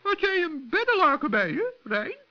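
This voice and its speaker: A man voicing a kindly, shrewd little forest gnome in a children's radio play, with a gently teasing manner. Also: extremely high pitch, very animated, normal speed, pitched above the performer's own voice. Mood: curious. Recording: dull, lo-fi old recording.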